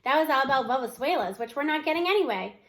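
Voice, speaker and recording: A woman's voice: high-pitched, animated, fast, fairly bright tone. Woman as a grumbling, sceptical schoolboy. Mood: complaining. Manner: retorting, complaining.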